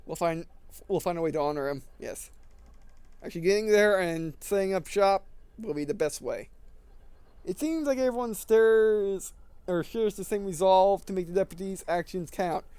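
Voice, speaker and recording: A man with a high, clean and smooth voice reads a visual novel aloud for a gaming video. He slips between narration and casual commentary, often tripping over words and going back over phrases. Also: normal speed, a little mellow, no echo.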